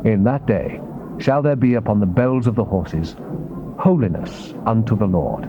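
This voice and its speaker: On a dull tape with clear noise, a man acts out a solemn prophet in a dramatized scripture reading. The voice sits at a middling pitch and proclaims in a grave, declamatory way. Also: natural voice. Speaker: scripture narrator. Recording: muffled tape with loud noise.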